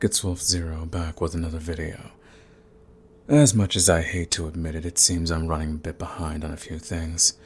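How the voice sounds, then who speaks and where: A man giving a casual update to his listeners as a channel host. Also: low-pitched. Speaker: ASMR voice performer and channel host.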